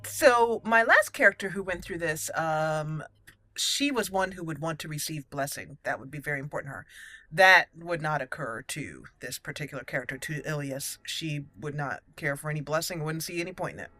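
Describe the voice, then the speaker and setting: A woman's voice: low-pitched, expressive, normal speed, bright, forceful tone, no echo. A woman hosting a gameplay video, speaking her character's lines aloud and adding her own commentary. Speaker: gameplay host. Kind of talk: let's play commentary with in-character roleplay. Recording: music behind the voice. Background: game music.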